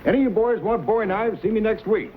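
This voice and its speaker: An actor playing an eager, wheedling blacksmith in an old-time western drama, chummy and folksy. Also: male voice, high-pitched, quick pace, close to an everyday voice. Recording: thin phone-like old recording, faint hiss.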